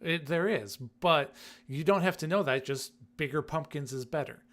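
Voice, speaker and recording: A man's voice: fairly high pitch, moderate pace, a bit bright. A man co-hosting a gaming podcast, explaining things casually. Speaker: podcast co-host.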